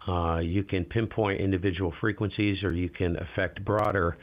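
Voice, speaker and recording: A man with a very low voice running a casual live ham radio demo, talking to himself as he adjusts settings. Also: normal speed, muffled recording. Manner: relaxed, talks to himself while adjusting gear. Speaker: ham radio livestream host.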